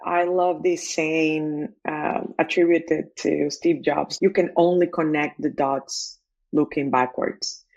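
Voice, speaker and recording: A woman from the Republic, telling her story as a guest in an interview, fluent and animated with fillers and restarts. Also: sits low, takes it slowly, creaky, dull audio. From Dominican Republic. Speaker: guest, tech entrepreneur.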